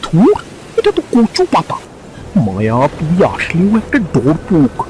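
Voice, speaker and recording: An actor playing a boastful, playful village uncle in a cartoon, teasing and bragging. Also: male voice, a little high, wildly swooping pitch, measured pace, mellow, slight background noise. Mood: teasing bravado. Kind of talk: cartoon story acting.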